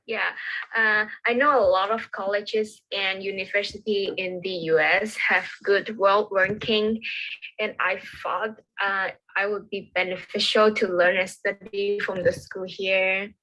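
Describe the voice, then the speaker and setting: Young woman, bright voice. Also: mid-range voice, fairly even intonation, measured pace, lo-fi sound. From Macau. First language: Cantonese. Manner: careful, simple learner's English in short plain sentences. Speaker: student interviewee. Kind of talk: recorded class interview.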